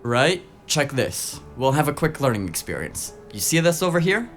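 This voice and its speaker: A man's voice: mid-range voice, very animated, normal speed, a bit bright, faint music behind. A man playing a brash, foul-mouthed, teasing tech sidekick in a noir audio drama, snarky and colloquial. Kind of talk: noir audio drama acting, casual banter.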